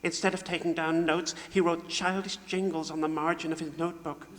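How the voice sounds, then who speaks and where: Self-pitying, formally indignant 1940s radio comedy sound man, played by a man, slightly high voice, roomy stage recording. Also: level tone, brisk, fairly bright tone, lightly acted. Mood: bitter, self-pitying. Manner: formal, indignant, mournful oratory. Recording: echoey hall with faint noise.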